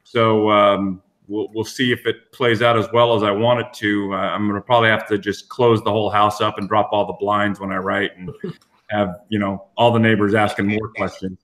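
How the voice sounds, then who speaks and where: A man speaking as a podcast guest, chatting informally and a little haltingly about his own work. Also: lowish voice, flat delivery, a bit bright.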